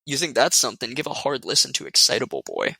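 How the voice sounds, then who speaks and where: A man's voice: mid-range voice, huge pitch swings, fast, creaky, sharp tone, breathy edge, exaggerated voice, rough recording. An actor playing a wry young man with dry, teasing humor who looks after his sick date in a radio play.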